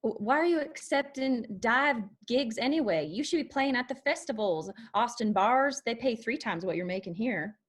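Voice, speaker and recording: Actress as young woman, bold, outspoken, higher-pitched, screenplay table read. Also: lively intonation, moderate pace, few pauses, husky. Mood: frank, pressing.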